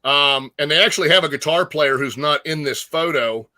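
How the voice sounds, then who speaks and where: A man chatting casually about music as a guest co-host, enthusiastic and slangy, often halting as he gathers his thoughts. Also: on the high side, sharp tone, no echo. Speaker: guest co-host, metal fan.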